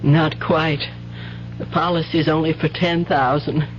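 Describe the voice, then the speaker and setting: An actress playing a weary, resigned middle-aged mother, newly widowed, speaking haltingly in a 1970s radio drama. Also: deep voice, level tone. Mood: subdued, regretful. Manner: slow, halting. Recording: dull vintage radio recording, faint hum.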